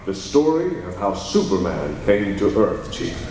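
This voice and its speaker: Guy as earnest reporter hero in an old radio play, animated storyteller, big echo, bit of noise. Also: low voice, a little slow. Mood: grand storytelling. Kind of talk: 1940s-style radio acting. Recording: distant, echoey hall, faint noise.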